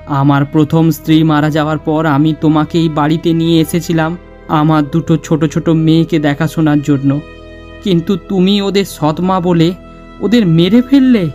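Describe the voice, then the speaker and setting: A man's voice: on the high side, steady tone, normal speed, a little mellow, lightly acted. A man voicing a grieving, accusing ghostly husband and father in a horror cartoon, stern and solemn. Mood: accusing, vengeful. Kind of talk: dramatic horror cartoon acting. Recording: long reverb, music underneath.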